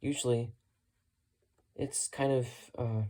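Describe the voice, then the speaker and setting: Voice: mid-range voice, little pitch movement, slow, warm tone. A hobbyist vlogger giving a casual, unscripted show-and-tell in a matter-of-fact way, musing tentatively while talking. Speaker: hobbyist vlogger showing thrift-store radio finds.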